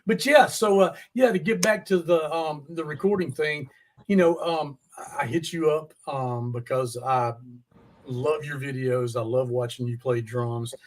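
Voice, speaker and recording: A man's voice: on the high side, unhurried, slight background noise. Casual man hosting a music chat. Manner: informal, mild swearing, y'all. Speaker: podcast host interviewing a musician.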